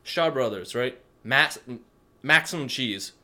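A man co-hosting a film review podcast, blunt and opinionated, often trailing off quietly. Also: medium pitch, animated, a little slow. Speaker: podcast co-host. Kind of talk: casual film review chat.

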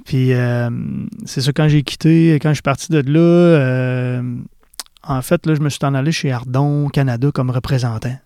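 A man's voice: medium pitch, fairly even intonation, warm tone, smooth, dry recording, clean studio sound. A middle-aged man with a Quebec French accent, a podcast guest talking casually about his work, rambling and restarting often. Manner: rambling, halting, many restarts. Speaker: podcast guest, tire repair specialist. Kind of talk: casual interview chat.

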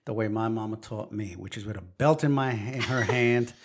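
A woman from Brooklyn with a New York accent, co-hosting a podcast in casual chat, an animated storyteller who acts out quotes. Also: very deep voice, a little fast. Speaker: podcast co-host.

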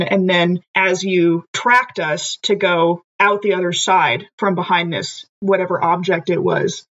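A woman's voice: fairly low pitch, lo-fi sound. A woman playing a tabletop game, chatting and thinking aloud as she describes her character's actions. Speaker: player. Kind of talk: casual tabletop play and in-character narration.

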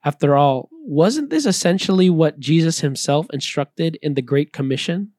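A man reading a scripted, reflective personal talk in an earnest, measured way. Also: a little high, expressive, darkish tone, dry recording, clean studio sound. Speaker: missionary speaker.

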